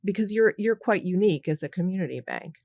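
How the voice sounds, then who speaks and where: A woman's voice: on the low side, expressive, quick pace, a little mellow, no room sound, studio quality. A woman hosting a podcast interview, giving short encouraging replies and asking questions. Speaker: podcast host.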